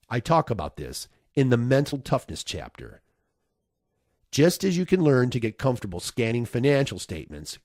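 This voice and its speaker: A man reading a nonfiction audiobook as narrator, in a measured, instructive way. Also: mid-range voice, a little mellow, no room sound, clean studio sound.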